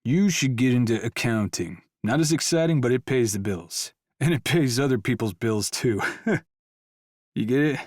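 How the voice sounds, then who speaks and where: An actor playing a laid-back stoner accountant, chatty, slangy and jokey, in a full-cast audiobook. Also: male voice, mid-range voice, expressive, normal speed, darkish tone, no echo, clean studio sound, close to an everyday voice. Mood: joking.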